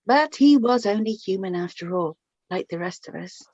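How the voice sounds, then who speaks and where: A woman's voice: mid-range voice, expressive, a little mellow, no room sound, natural voice. An actress playing a chatty, affectionate middle-aged mother of the bride, slightly flustered and hesitant, in a radio play. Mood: fond, chatty. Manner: hesitant, halting, chatty, with small nervous repetitions. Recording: dull lo-fi, slightly muffled recording.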